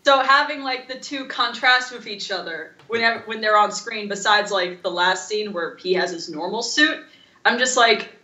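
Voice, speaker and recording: A woman co-hosting a casual podcast, rambling through stories in an animated, informal way. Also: mid-range voice, normal speed, reverberant, dull audio. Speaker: podcast co-host. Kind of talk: casual chat.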